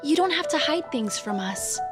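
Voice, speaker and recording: A woman's voice: high-pitched, animated, moderate pace, over music. A woman playing a caring, easily flustered teenage girl in a fan audio drama. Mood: gentle, caring.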